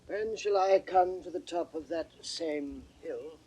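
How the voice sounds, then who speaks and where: An older man playing a blinded old nobleman, grave and despairing, in solemn, measured classical Shakespearean stage acting. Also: fairly high pitch, darkish tone, natural voice. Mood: weary, grave. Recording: distant, noisy 1960s studio drama recording.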